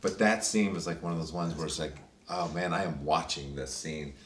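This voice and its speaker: Deep-voiced man, enthusiastic and rambling. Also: brisk. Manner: enthusiastic, rambling with many fillers. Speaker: voice actor in the cast. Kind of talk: casual roundtable chat. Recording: echoey room, distant mic, faint noise.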